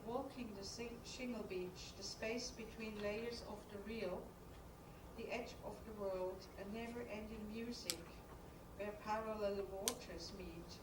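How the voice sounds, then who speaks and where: A woman's voice: mid-range voice, fairly even intonation. Distant woman in an echoey hall. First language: German. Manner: measured, poetic reading with halting, hesitant asides. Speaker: conference lecturer. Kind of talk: reading a prepared lecture aloud, then speaking freely. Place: conference studio hall. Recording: echoey hall from far off, noisy.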